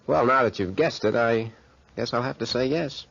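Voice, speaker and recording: An actor in a 1940s radio drama playing a brash, smooth-talking young schemer with slangy, cocky tough-guy talk. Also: mid-range voice, moderate pace, fairly bright tone. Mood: slyly charming. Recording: muffled 1940s radio recording.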